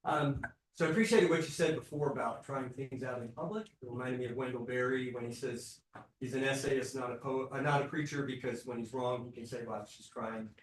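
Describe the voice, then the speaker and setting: A man's voice: mid-range voice, brisk. Man, audience question, hesitant, rephrases, distant, roomy, faint background noise. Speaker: audience member asking a question.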